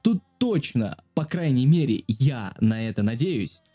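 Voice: mid-range voice, very animated, unhurried, dark tone. A video creator narrating a casual joking tutorial in a crude, slangy, self-deprecating way. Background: music.

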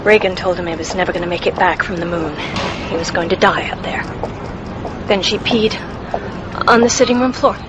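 A woman's voice: lowish voice, fairly quick, dull audio, lightly acted. An actress playing a wary, anxious mother in a radio drama, guarded and hesitant. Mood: guarded, uneasy. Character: wary, anxious mother seeking a priest's help. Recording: noisy radio play recording.

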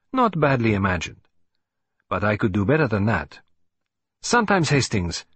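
Guy doing a smug little french-accented detective, husky, swoopy delivery. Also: a bit bright, no echo, exaggerated voice. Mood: musing. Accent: put-on French. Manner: precise, self-satisfied, raised pitch. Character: fussy, vain older male Belgian detective. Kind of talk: audiobook reading.

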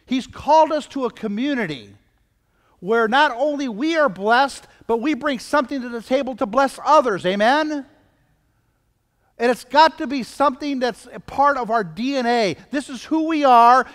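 A man's voice: very high voice, normal speed, a bit bright. An older man preaching a sermon as a pastor, emphatic, repeating phrases and using rhetorical questions, recorded in a church hall. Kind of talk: sermon to a congregation. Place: church hall, indoors at a lectern. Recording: reverberant hall, picked up from a distance.

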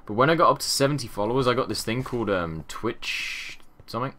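A young man with a deep voice and a sore throat, chatting casually over gameplay in a rambling way that often trails off into mumbles. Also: lively intonation, quick pace, bright, forceful tone. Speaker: gaming channel host. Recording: audible background noise and keyboard clicks.

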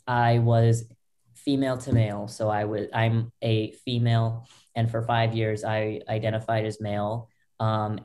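A young woman with a low voice, a podcast guest telling her own story in loose talk full of fillers and restarts, over a video call from a small bedroom. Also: dark tone. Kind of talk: candid personal story. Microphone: laptop webcam, video call.

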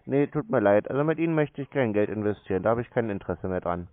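A man's voice: lowish voice, animated, quick pace. A man speaking casually and bluntly in a phone conversation, sounding unimpressed. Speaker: show host taking scam calls. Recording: band-limited, phone-like audio.

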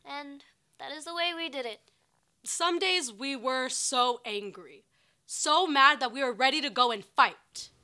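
Casting: earnest female farmworker looking back, high bright voice, film monologue. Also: measured pace. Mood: earnest, remembering. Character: earnest farmworker sister remembering the movement. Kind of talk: dramatized oral-history monologue.

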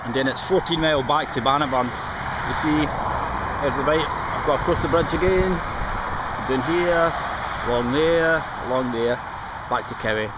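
A man's voice: on the high side, moderate pace. A middle-aged Scottish man vlogging casually as he cycles along canal paths and roadsides, chatting and pointing things out as he goes. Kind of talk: casual commentary while cycling. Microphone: action camera held or mounted on the bike. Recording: outdoor camera audio with traffic and wind.

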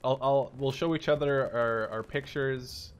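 A man in his twenties from the Netherlands, chatting casually and joking with a friend while playing a shooter game, with sudden excited shouts. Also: mid-range voice, measured pace, clean tone. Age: 20s. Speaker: gaming channel host. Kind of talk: casual gameplay chat with a friend.

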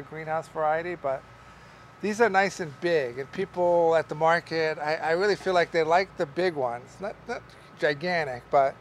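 A middle-aged man, a market farmer, explaining how he grows tomatoes in a relaxed, rambling way with many fillers, recorded in a large greenhouse by a camera some distance away. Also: fairly high pitch, a little hiss or hum. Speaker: market farmer teaching growing. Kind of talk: casual on-camera farming lesson. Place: large greenhouse among tall tomato plants. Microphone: camera at a distance, wide shot.

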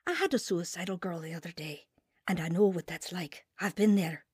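A woman's voice: on the low side, fairly quick, darkish tone, breathy edge, no room sound, studio quality. A woman playing an earnest, devout mother telling her life story in a sincere radio drama style. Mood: candid. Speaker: lead actor and narrator.

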